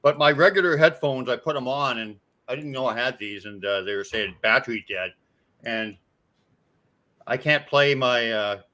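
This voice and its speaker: Brief: man with a bright, forceful tone, loose rambling guest. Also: mid-range voice, moderate pace. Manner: loose, rambling, swears casually. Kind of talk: casual live-stream chat.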